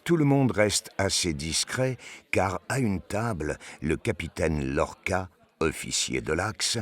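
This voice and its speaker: A man narrating a science fiction audio drama from a script in a measured, descriptive manner. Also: a bass voice, a bit bright.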